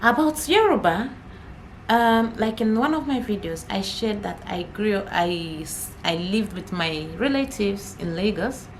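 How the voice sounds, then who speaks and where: A woman's voice: mid-range voice, animated, measured pace, a little hiss or hum, low-quality recording. A young Nigerian woman, an Igbo speaker, chatting casually to camera as a vlogger, laughing often and repeating herself.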